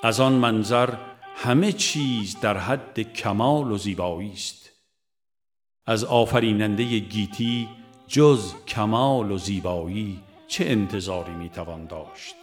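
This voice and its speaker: A man narrating a spiritual book in a measured, solemn manner with deliberate pauses. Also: fairly low pitch. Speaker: audiobook narrator. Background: music.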